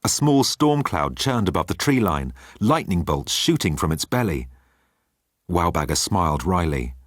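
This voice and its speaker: A man's voice: deep voice, sing-song, moderate pace, no echo, studio quality. A man reading scripted comic fiction as an audiobook narrator.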